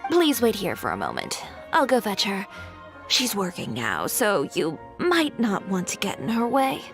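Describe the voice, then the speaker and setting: A woman playing a shrewd, self-assured young fashion designer in a video game, speaking briskly and confidently. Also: medium pitch, huge pitch swings, faint music behind. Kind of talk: video game character dialogue.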